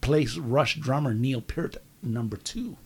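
A man's voice: mid-range voice, takes it slowly, vocal fry, husky. A man co-hosting a casual comedy podcast, joking and laughing often as he chats. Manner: jokey, laughs often, keeps addressing his co-host by name. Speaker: podcast co-host.

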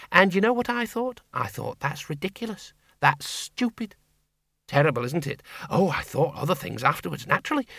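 A man voicing a sharp-tongued older spinster who runs a farm, talking bluntly in country speech. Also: high voice, no echo, studio quality, pitched above the performer's own voice. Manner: brisk, blunt country talk. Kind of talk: audiobook character voice.

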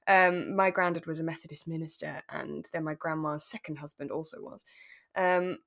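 A woman co-hosting a podcast in relaxed, casual conversation. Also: deep voice, moderate pace, vocal fry. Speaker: podcast co-host.

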